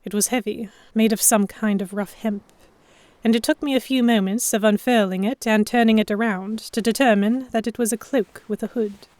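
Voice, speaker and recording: A woman narrating a first-person historical romance story with careful, expressive, scripted delivery. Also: fairly high pitch, moderate pace, no room sound. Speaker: narrator and lead heroine.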